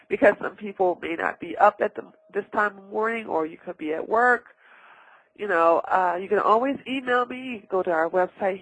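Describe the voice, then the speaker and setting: A high-pitched man with a stuffed-up, nasal voice hosts a casual health question-and-answer show. He rambles and keeps correcting himself. The sound is dry and close. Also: creaky, fairly bright tone, breathy edge, no echo, rough recording. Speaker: radio health show host.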